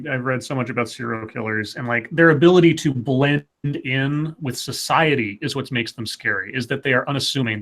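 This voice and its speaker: A man co-hosting a live comics talk stream, excitedly pitching story ideas in casual, profane chat. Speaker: podcast co-host.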